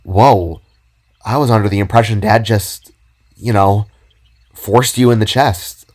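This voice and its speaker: A man's voice: fairly low pitch, fairly even intonation, measured pace, a little mellow. Man as a hesitant, curious young spark. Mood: surprised. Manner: hesitant, questioning. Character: young male spark, polite, curious, unsure. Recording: background music under the voice.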